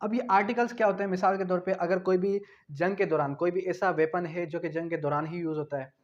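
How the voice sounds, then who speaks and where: A man's voice: high-pitched, fairly even intonation, fast. A man gives an explanatory lecture. He is didactic, repeating key phrases for emphasis and dropping in English terms. The recording is dull and lo-fi. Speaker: law teacher.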